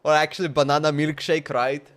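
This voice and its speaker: A man's voice: a little high, flat delivery, a little fast, slight background noise. A man giving casual live gameplay commentary as a streamer, rambling and thinking aloud. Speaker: game streamer.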